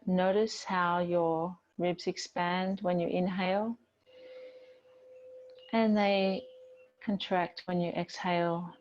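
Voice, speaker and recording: A woman yoga teacher giving gentle, unhurried guided relaxation and movement instructions in a soothing manner. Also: lowish voice, little pitch movement, lo-fi sound.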